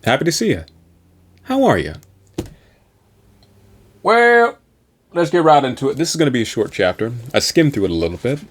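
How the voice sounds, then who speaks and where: A man reading a science fiction novel aloud as host, with a theatrical, deliberate delivery. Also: medium pitch, very animated.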